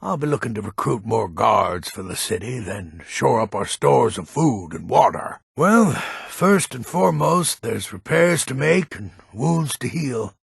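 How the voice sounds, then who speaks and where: Casting: grumpy, proud old Nord townsman for a fantasy game; very raspy, heavy gravel, blunt and folksy. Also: medium pitch, very animated, a little slow, hardly any pauses, dry recording, clean studio sound, heavily acted. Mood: proud, reminiscing. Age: older. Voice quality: gravelly, husky. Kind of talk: fantasy video game character acting.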